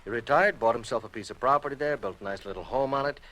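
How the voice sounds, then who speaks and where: A man's voice: mid-range voice, fast, fairly bright tone, natural voice. An actor playing a genial, easygoing American insurance man in a golden-age radio drama, folksy and rambling with hesitant turns. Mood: reluctant, uneasy.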